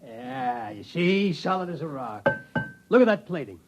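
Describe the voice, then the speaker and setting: A man's voice: fairly high pitch, big pitch swings, measured pace, over loud music. An actor playing a slick, folksy used-spaceship salesman with boastful, wheedling patter in a 1950s radio drama. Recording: muffled vintage radio recording.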